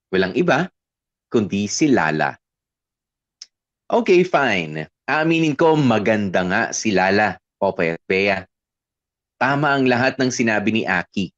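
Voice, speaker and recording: A man as animated young Filipino student narrator. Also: mid-range voice, muffled recording. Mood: playfully admitting. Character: young male student fondly recalling a college crush. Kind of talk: dramatized first-person story narration.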